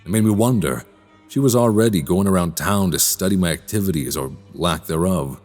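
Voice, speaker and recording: An actor playing a polite, troubled man suspected of being a vampire in a radio drama, speaking in measured, formal storyteller phrasing. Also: deep voice, lively intonation, darkish tone, faint music behind. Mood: brooding, uneasy. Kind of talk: immersive radio drama acting and narration.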